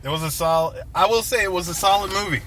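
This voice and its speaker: A man with a fairly high voice banters through a movie review. He is blunt and joking, quick to interject with his own take. The recording has clear vehicle and outdoor noise behind him. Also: a bit bright. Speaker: film reviewer, co-host. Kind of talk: casual banter in a film review. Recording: noisy outdoor recording with traffic.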